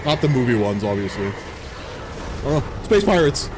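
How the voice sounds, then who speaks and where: A man in his thirties, a game streamer giving casual, excitable, jokey commentary while playing and laughing often. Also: mid-range voice, normal speed, over music. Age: 30s. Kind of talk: casual live gameplay commentary.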